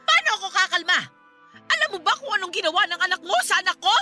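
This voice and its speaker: An indignant, protective middle-aged Filipino mother in a radio drama. Her voice is very high and very sharp, with forceful, accusing delivery over soft music. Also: sing-song, quick pace, exaggerated voice. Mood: agitated, demanding.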